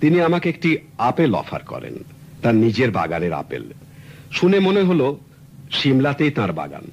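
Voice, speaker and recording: A man's voice: mid-range voice, huge pitch swings, normal speed, gravelly, darkish tone, lo-fi sound. An actor playing a polite, earnest middle-aged client in a radio drama, explaining his story courteously and at length. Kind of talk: 1970s radio drama acting. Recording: old radio recording with faint hiss.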